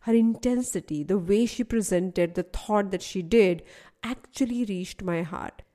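A woman's voice: medium pitch, measured pace, dark tone. A woman with an Indian English accent, hosting a podcast interview and introducing her guest, laughing often. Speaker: podcast host.

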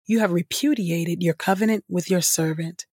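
A woman reading scripture as a podcast host, in a measured style with deliberate, reverent phrasing. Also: on the low side, darkish tone, slightly rough, no echo, studio quality.

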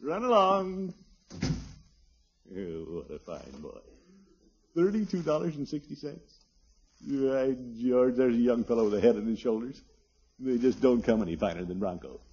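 A man's voice: mid-range voice, big pitch swings, normal speed, long pauses, a little mellow, husky. An actor playing a pompous, easily flustered middle-aged American man in a 1950s radio comedy, with theatrical blustering. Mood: amazed, pleased. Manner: theatrical, blustering, swooping exclamations. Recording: muffled, noisy old radio recording.

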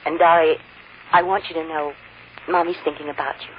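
A woman's voice: low voice, level tone, a little fast, natural voice. An actress playing a loving mother in an old radio drama, speaking soothingly and affectionately. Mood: tender. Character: tender, loving young mother. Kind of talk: 1940s-50s radio drama acting. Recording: muffled, noisy old radio recording.

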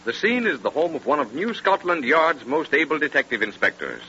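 A muffled, noisy old radio recording carries a male announcer. His voice is very forceful and sharp, with formal, declamatory scripted delivery. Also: a little high, sing-song, fairly quick. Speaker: announcer and narrator. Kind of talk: 1930s radio scripted narration.

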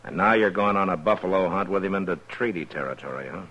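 An actor playing a stern, steady American frontier lawman in a 1950s radio western, with a husky voice. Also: low voice, quick pace, dull audio. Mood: probing.

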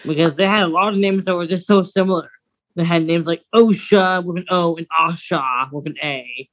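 A woman's voice: fairly low pitch, moderate pace. A woman co-hosting a podcast, chatting casually in a playful, giggly way. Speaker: podcast co-host. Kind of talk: casual chat about a radio drama.

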